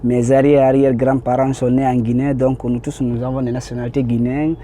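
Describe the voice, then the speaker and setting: A man's voice: medium pitch, little pitch movement, brisk, over loud music. A man from Guinea with a Guinean French accent giving earnest, direct answers in a street interview.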